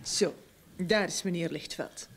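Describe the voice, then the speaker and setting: An actress playing a brisk, formal female office secretary in an old radio drama. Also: lowish voice, a little hiss or hum.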